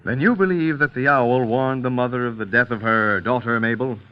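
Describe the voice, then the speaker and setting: An actor playing a formal trial lawyer, questioning in a measured way in an old radio drama. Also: male voice, mid-range voice, very noisy, lightly acted. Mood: formal, assertive. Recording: muffled vintage radio recording.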